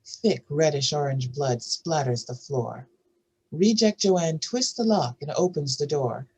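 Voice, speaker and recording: A woman with a husky voice narrating screenplay stage directions in a scripted reading. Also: low-pitched, unhurried, darkish tone, poor audio.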